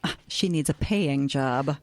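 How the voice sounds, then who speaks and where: An older woman playing a fond, wry and chatty small-town grandmother in an expressive radio drama style. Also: extremely low pitch, measured pace, dry recording.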